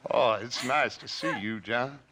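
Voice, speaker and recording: A man's voice: mid-range voice, noisy background, natural voice. A man playing a cornered, mocking outlaw gang leader in a Western video game, drawing out his taunts. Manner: drawn-out, taunting. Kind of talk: dramatic video game acting.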